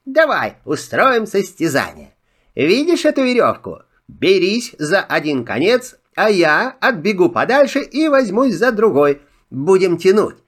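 A crafty little rabbit chirps in a high, swooping voice. He flatters and wheedles his way through every deal. A lively trickster from a children's filmstrip tale. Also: unhurried, heavily acted. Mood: sly. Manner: wheedling, flattering, sing-song. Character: sly, chatty little male rabbit trickster. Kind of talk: filmstrip audio drama.

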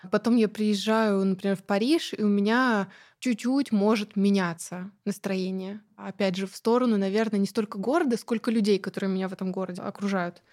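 A woman guest talking in a relaxed interview, chatty and lively, with many fillers and light laughs. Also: mid-range voice, a little fast. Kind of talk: casual conversational interview.

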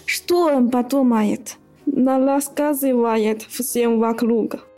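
Woman acting high-voiced, sentimental fretful mother. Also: slow pace, dark tone, faint music behind. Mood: worried. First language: Chinese. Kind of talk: radio play acting of a classic story.

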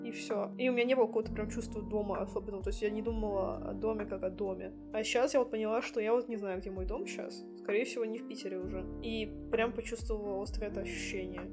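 A woman's voice: medium pitch, steady tone, quick pace, hardly any pauses, music in the background. A woman in her twenties from Russia, co-hosting a podcast and telling personal stories in a casual, reflective way. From Saint Petersburg, Russia. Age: 20s. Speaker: podcast co-host.

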